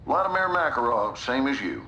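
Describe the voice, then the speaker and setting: A self-assured, commanding general. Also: male voice, medium pitch, sing-song, brisk, sharp tone, slight background noise, poor audio. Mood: controlled, persuasive. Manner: controlled, persuasive, commanding. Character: smooth, self-assured disgraced American male general. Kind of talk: cinematic video game acting.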